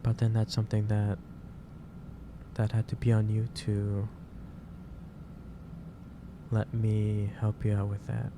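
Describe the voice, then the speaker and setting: Low-voiced, halting gentle boss played by a man. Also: completely flat delivery. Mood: gently concerned. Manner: halting, repeats and restarts phrases. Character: gentle, caring office boss lecturing a tired worker. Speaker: ASMR roleplay performer. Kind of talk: soft-paced ASMR roleplay monologue. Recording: clearly noisy background hiss or ambience.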